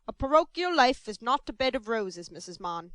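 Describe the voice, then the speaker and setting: A woman's voice: on the high side, big pitch swings, normal speed, fairly bright tone, dry recording. A woman voicing Mister Bumble, pompous beadle. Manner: stern, blustering, self-satisfied. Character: pompous self-important middle-aged male parish beadle.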